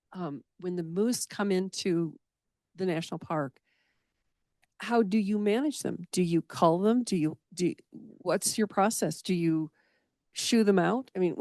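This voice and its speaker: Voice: mid-range voice, a little mellow, no echo, clean studio sound. An audience member asking a panel direct, unscripted questions in short phrases. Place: indoor public panel event at a library.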